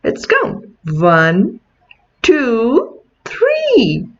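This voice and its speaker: A woman reads a children's picture book aloud at an unhurried pace. Her pitch moves up and down in an animated way, with a teacherly delivery. The recording sounds a little dull and lo-fi. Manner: deliberate teacherly delivery with questions and counting. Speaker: children's read-aloud host.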